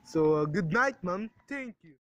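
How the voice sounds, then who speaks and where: A woman's voice: fairly low pitch, lively intonation, unhurried, no echo, muffled recording. A woman playing a weary, dismissive desk police officer in an amateur radio play, placating and patronizing. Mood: brisk, impatient.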